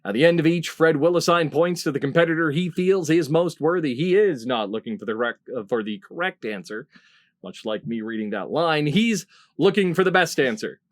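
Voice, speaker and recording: A man's voice: a little high, lively intonation, brisk. A middle-aged man hosting a comedy panel game with playfully ceremonious, announcer-like introductions. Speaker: game show host.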